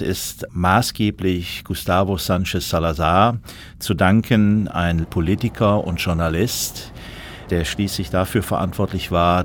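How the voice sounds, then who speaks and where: Male journalist with a deep voice, factual documentary commentary, slight noise behind him.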